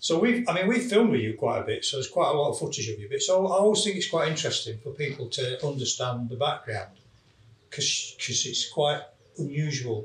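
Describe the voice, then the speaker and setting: Fast-talking male interviewer, roomy sound. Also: medium pitch, expressive. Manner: quick, loose questions with hedging fillers. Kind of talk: casual interview. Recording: roomy, distant mic.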